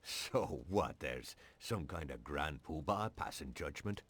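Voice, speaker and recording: An actor playing a cynical, righteous police detective in a video game, sarcastic and challenging. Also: male voice, a bass voice, animated, slow, a bit bright, breathy edge, exaggerated voice. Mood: needling.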